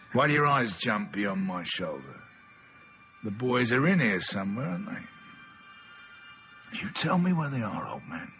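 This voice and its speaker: An actor playing a sinister carnival master in a radio play, menacing and tempting, with a theatrical, taunting delivery. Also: male voice, lowish voice, sharp tone, heavily acted. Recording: dull lo-fi radio play, faint music.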